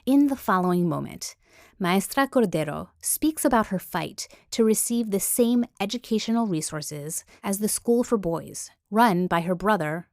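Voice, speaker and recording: Woman podcast host reading a script, medium pitch, super dry close sound. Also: animated, moderate pace, a little mellow, no room sound. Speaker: podcast presenter. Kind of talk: scripted narration.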